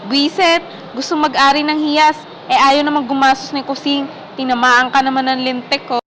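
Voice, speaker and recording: A high-voiced, cranky older Filipino mother-in-law played by an actress in a radio drama. She nags and scolds with sarcastic scorn. There is faint noise behind her. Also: little pitch movement, normal speed, fairly bright tone. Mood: bitter grumbling.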